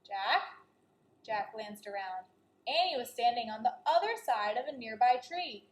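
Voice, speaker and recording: A woman's voice: a little high, lively intonation, normal speed, reverberant. A woman teacher reading a children's story aloud, expressively and speaking directly to her young listeners.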